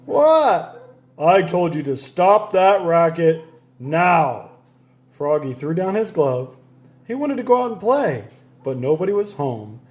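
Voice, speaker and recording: A man reading a children's story aloud in a playful, animated way, leaning on the sound words. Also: on the high side. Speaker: storybook reader.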